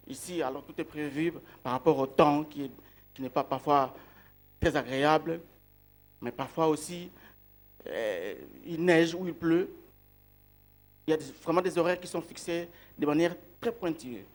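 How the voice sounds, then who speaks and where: A man with a fairly high voice preaches a reflective sermon. He speaks slowly, lingers on key words and poses questions to his listeners before answering them himself. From outside Belgium.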